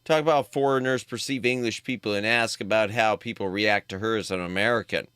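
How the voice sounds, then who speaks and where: A man commentating on a game live for his chat, joking and animated. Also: on the low side, a little fast, clean tone, no echo. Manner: jokey, animated, talks to chat. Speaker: streamer.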